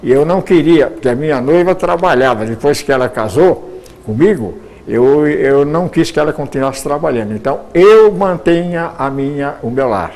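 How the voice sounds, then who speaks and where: Older man with a gravelly voice. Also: a little high, lively intonation, normal speed, darkish tone. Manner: rambling, frequent restarts and repeated words. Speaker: retired radio announcer. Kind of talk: personal life story.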